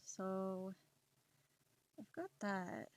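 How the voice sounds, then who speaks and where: Woman streamer, slow and soft-spoken, mid-range voice, encouraging chat. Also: medium pitch, animated. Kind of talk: casual chat while drawing on stream.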